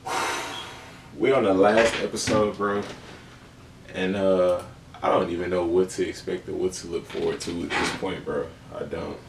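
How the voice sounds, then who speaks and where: A man's voice: low voice, flat delivery, normal speed, vocal fry. A young adult man giving casual, slangy, laid-back reaction commentary. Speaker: video host reacting to game cutscenes. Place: small indoor room at a desk. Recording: roomy, distant mic, noisy background.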